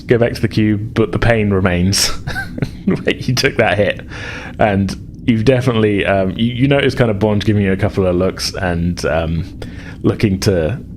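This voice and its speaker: A man with a low voice runs a tabletop role-playing game. He narrates conversationally, pausing and hedging as he builds each scene. There is audible background noise on the recording. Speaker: game master.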